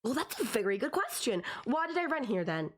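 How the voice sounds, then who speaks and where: A woman's voice: on the high side, big pitch swings, brisk. A woman playing a possessive, teasing young stalker in an audio roleplay, talking straight to the listener in a conversational, condescending yet affectionate way.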